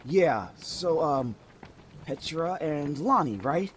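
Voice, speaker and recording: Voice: medium pitch, expressive, takes it slowly, slight background noise. A performer playing a nervous, easygoing youngster in an audio drama, hesitant and awkwardly jokey. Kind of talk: amateur audio drama acting.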